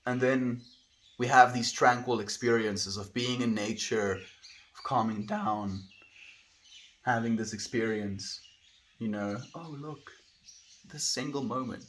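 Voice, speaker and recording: Bright-voiced South African man musing to his stream. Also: medium pitch, fairly even intonation, slow pace, long pauses, a little hiss or hum. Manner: rambling, musing, calls listeners dude and friend. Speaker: live streamer. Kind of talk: casual livestream chat reading viewer comments.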